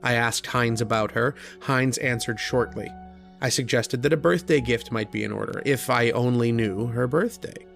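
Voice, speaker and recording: An actor playing an earnest, kindly struggling writer who narrates an old-time radio horror drama in a reflective, hesitant way. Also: male voice, mid-range voice, moderate pace, gravelly, a bit bright, soft background music. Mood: somber.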